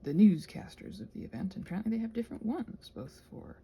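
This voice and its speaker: A woman's voice: mid-range voice, expressive, fast talker, mellow, a little hiss or hum. A woman chatting casually in a whimsical, digressive way while she does crafts. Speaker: craft vlogger.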